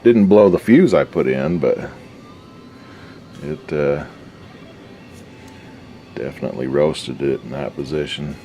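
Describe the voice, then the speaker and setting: A man's voice: very deep voice, slow, pauses often, warm tone, dull audio, faint music behind. A man giving casual, unscripted commentary as a hobbyist repairer, talking in a folksy, slangy mutter while he works. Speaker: hobbyist radio restorer and video host.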